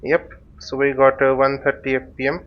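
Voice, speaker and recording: A man hosting a flight simulator tutorial, thinking aloud in short, halting phrases as he casually walks through what he is doing. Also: mid-range voice, flat delivery. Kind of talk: casual spoken walkthrough while flying a simulator. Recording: dull, lo-fi microphone sound.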